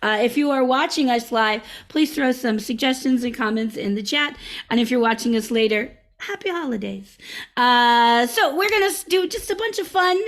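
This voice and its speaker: Chatty high-voiced woman hosting on a call. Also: moderate pace. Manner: upbeat, chatty, many fillers and restarts. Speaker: improv show host. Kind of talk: live online improv show hosting. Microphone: video call.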